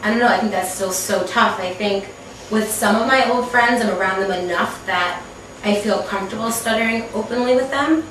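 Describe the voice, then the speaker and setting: A woman's voice: medium pitch, normal speed, a bit bright. A young woman guest hosting, chatting casually. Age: young adult. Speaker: guest host. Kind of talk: casual chat. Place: small indoor living room. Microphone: far camera. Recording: distant mic, echoey room, faint hiss.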